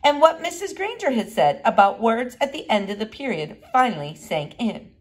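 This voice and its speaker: Female reader for children's fiction: expressive, lively intonation, clear narrative emphasis. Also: on the high side, moderate pace. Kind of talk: read-aloud children's storybook narration.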